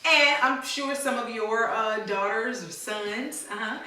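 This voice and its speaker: Bright-voiced woman reading aloud in echoey room. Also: on the high side, unhurried. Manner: teacherly, prompting children with questions. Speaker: mother reading a storybook to her daughters. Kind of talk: read-aloud storytime with questions to children. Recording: very echoey room, slightly distant mic.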